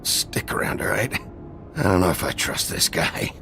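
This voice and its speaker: An actor playing a tough, wounded, stoic sheriff in a video game, gruff, guarded and terse. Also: male voice, low-pitched, big pitch swings, moderate pace, over music. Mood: wary, irritated.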